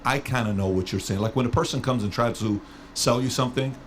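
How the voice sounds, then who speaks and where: A man from Iran hosting a podcast and asking long, rambling questions. He repeats himself and slows down to stretch out words. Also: medium pitch, a loud crowd. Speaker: podcast host. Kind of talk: casual interview talk.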